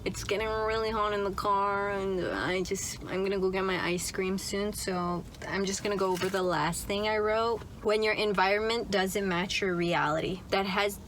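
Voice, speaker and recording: A woman's voice: mid-range voice, fairly even intonation, normal speed, few pauses, fairly bright tone, a little hiss or hum, low-quality recording. A young woman vlogging from inside a car, chatting in a loose, upbeat way with lots of "like" and "right?". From Canada. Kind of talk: casual chat to camera.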